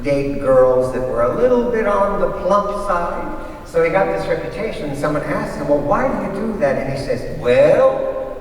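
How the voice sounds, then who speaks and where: A man performing in a readers theater with a lively storytelling delivery. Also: on the high side, normal speed. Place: large hall, live stage reading. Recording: echoey hall from far off, faint noise.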